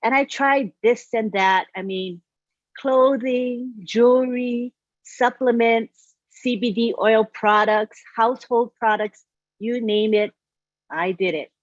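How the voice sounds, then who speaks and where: A woman's voice: fairly high pitch, fairly even intonation, takes it slowly, no echo. Woman, 60s, from Hawaii, chatty testimonial; muffled, dry call audio. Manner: chatty storytelling, frequent "you know". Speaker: guest speaker. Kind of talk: personal testimonial on a live stream.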